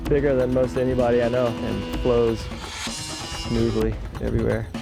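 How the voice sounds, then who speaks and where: A man gives an interview comment in a creaky, gravelly voice. His intonation stays very flat, and music plays clearly behind him. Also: medium pitch, moderate pace.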